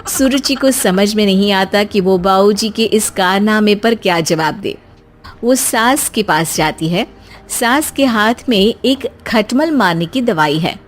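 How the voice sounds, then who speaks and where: A woman's voice: medium pitch, lively intonation, measured pace, slight background noise. A woman narrator telling a scripted storybook tale.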